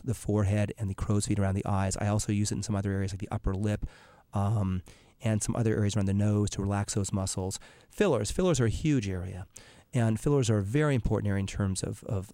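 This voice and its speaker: A man with a raspy, hoarse voice from laryngitis, explaining cosmetic treatments as a surgeon on a call-in radio show, with frequent ums and restarts. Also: fairly low pitch, mellow, no room sound. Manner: explanatory, many fillers and restarts. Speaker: dermatologic surgeon, radio show guest expert.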